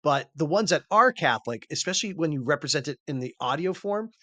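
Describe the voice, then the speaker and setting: A man's voice: on the high side, expressive, fast talker, a bit bright, dry recording. A man, the book's author, explaining earnestly in a casual interview.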